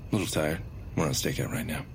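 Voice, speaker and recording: A man's voice: rumbling low, quick pace, vocal fry, slight background noise. An actor playing a cocky, impulsive male amateur bounty hunter, brash and sarcastic, in an audio drama. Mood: grumpy.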